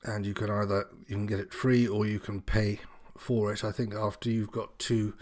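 A man's voice: on the low side, level tone, moderate pace, darkish tone. A man giving an informal, unscripted walkthrough as an instructor, explaining things in a slightly hesitant, meandering way. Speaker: sailing instructor presenting tutorial.

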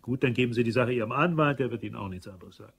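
A man's voice: mid-range voice, racing, gravelly, husky. A man playing an irritable, stressed business manager in an audio crime drama. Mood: curt, irritated. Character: irritable, stressed male business manager, fretting over his mother. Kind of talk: German radio play dialogue.

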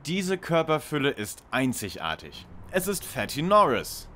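A man's voice: a little high, very animated, unhurried, pushed and bright, a little hiss or hum, full character voice. An actor playing a sceptical, wordy, bossy young male detective in a parody audio drama. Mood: commanding.

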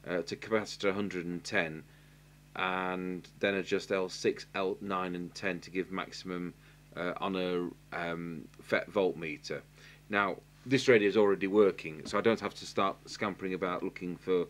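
Deep-voiced Englishman, halting workbench tutorial. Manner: halting, matter-of-fact, thinking aloud while working. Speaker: radio repair technician giving a demonstration. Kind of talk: unscripted hands-on tutorial narration. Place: indoor electronics workbench. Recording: clear hiss and electrical hum.

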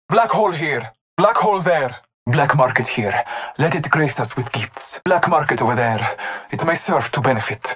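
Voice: medium pitch, a bit bright, lightly acted. An actor voicing a solemn, honorable hunter devoted to Norse gods in a video game, speaking in formal, ceremonious phrases. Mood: alert, matter-of-fact. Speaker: playable game character. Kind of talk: video game voice lines, short barks and proclamations.

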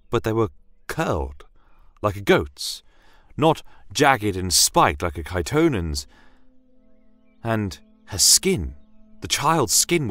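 A man's voice: sits low, dry recording. A man telling a scripted first-person fantasy story as its narrator.

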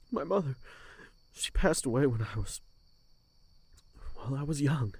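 A man acting a lovesick young captain in a fantasy audio drama, withdrawn and full of guilt. His voice is husky and swings widely in pitch. He speaks haltingly and rawly, recorded close and dry. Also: animated, darkish tone, no room sound, exaggerated voice. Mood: grieving, tender. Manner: hesitant, emotionally raw, halting.

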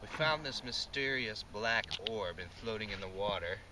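Lively young man, distant in loud outdoor noise. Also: lowish voice, normal speed. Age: young adult. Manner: slangy, informal. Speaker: paddler, companion in the vlog. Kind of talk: casual chat. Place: outdoors in a canoe on a lake. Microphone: camera held by companion. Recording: very noisy, distant outdoor camera recording.

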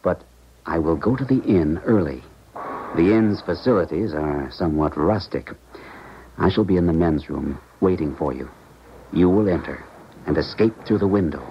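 Slightly noisy, muffled old radio sound frames a male scientist in a defection drama. His voice is low, with precise, educated wording. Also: moderate pace. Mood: assured, precise. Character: cultured, uneasy male scientist helping a colleague defect. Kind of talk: 1970s American radio drama acting. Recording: muffled 1970s radio recording, faint noise.

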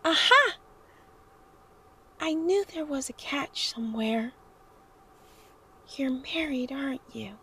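Woman playing a high, fluttery smitten young lady. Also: animated, slow pace, pauses often, sharp tone, exaggerated voice. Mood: teasing, suspicious. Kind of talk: horror radio drama acting.

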